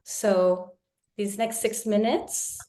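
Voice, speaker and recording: Soft-spoken woman leading a meditation. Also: medium pitch, fairly even intonation, slight background noise. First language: Tagalog. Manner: slow, soft and reflective, with pauses and restarts. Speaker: co-facilitator of a spiritual gathering. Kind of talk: guided meditation talk. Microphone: video call.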